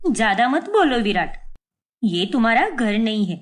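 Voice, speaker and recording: A bright, higher voice for a short-tempered young mother in a cartoon family story, as a woman puts her on. She snaps back and defends herself. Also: very animated, normal speed. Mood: defiant. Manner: sharp, defensive.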